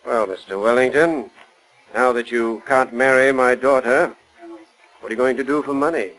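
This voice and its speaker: A man's voice: medium pitch, moderate pace, a bit bright, natural voice, low-quality recording. An actor playing a stern, imperious older father, haughty and commanding, in 1940s radio style. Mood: cold, needling. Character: stern, imperious wealthy father, cold and sharp-tongued. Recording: 1940s radio broadcast recording.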